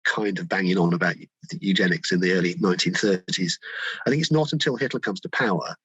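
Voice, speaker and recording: A man's voice: deep voice, fast talker, fairly bright tone, breathy edge, dry recording, lo-fi sound. A man talking conversationally as an expert guest in an interview.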